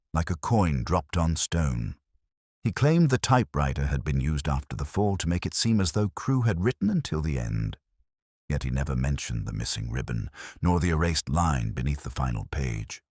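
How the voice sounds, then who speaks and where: A man narrating a scripted first-person detective mystery in a measured, solemn, literary manner. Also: rumbling low, husky, no echo, clean studio sound.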